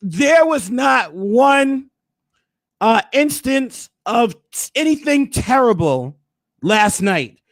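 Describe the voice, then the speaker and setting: A hoarse man hosting a casual recap, hyping things up with preacher-like flourishes. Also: high-pitched, no room sound. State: hoarse, losing his voice. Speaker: host.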